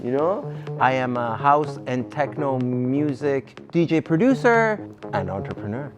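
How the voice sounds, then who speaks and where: Casual, rambling man DJ with music behind. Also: mid-range voice. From Canada. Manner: casual, profane, rambling with fillers. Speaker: DJ and music producer. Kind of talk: documentary interview.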